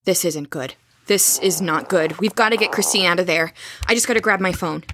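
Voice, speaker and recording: A woman acting an anxious, resolute young woman in an audio horror drama, speaking in a husky, earnest, urgent hush. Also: lowish voice, brisk, a little hiss or hum, close to an everyday voice.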